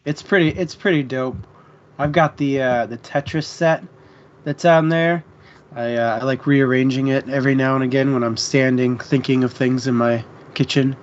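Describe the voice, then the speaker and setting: A man's voice: medium pitch, steady tone, moderate pace, highs cut off, slight background noise. A man co-hosting a book stream in a laid-back, slangy, chatty way. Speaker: co-host of a book discussion stream. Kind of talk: casual chat.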